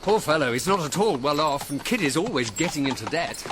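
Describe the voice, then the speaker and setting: An actor playing a worldly, urbane army officer and military secretary in a period radio drama, wry and well-spoken. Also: male voice, a little high, very animated, fairly quick. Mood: wry, gossipy. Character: Fitzroy Somerset, worldly, gossipy gentleman officer, military secretary. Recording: noisy outdoor scene with horses. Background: horses clip-clopping.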